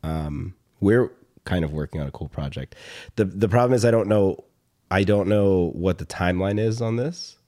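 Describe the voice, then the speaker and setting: A young man with a slightly hoarse voice chatting casually as a podcast host, rambling and halting, with some casual swearing. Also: low-pitched, warm tone. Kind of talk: casual chat.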